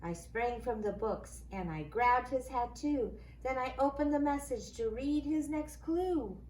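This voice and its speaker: A woman reads a children's story aloud in a slightly high voice. Her pace is slow, with deliberate, dramatic phrasing. The room is echoey, with faint noise in the background. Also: a little mellow. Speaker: school staff reading a picture book aloud.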